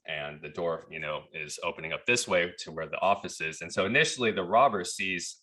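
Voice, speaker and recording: Low bright-voiced guy from texas, chatty storytelling on a podcast. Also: a little fast. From Texas, USA. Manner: relaxed, chatty, laughs at the absurd parts. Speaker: podcast guest. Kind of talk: casual storytelling.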